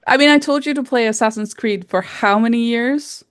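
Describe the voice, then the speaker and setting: A woman's voice: fairly high pitch, fairly quick, clean tone, dry recording, clean studio sound. A woman co-hosting a casual podcast chat. She makes her points in a teasing, matter-of-fact and insistent way. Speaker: podcast co-host.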